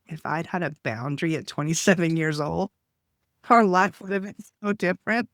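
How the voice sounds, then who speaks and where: A man's voice: on the high side, moderate pace, fairly bright tone, dry recording, studio quality. A man in his fifties with a Texan accent, hosting an interview in an enthusiastic, chatty way. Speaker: host.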